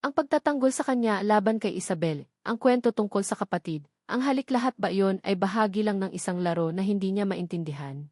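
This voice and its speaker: A woman with a Filipino accent narrating a scripted romantic audio drama in a measured, dramatic storytelling manner with deliberate pauses. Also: medium pitch, darkish tone, dry recording, clean studio sound.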